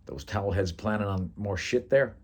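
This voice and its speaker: A man's voice: fairly low pitch, normal speed, voice pushed up. A man voicing a brash, cocky Italian American bar buddy with a New York Italian accent in a story. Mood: scornful. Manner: blunt, cocky, slangy.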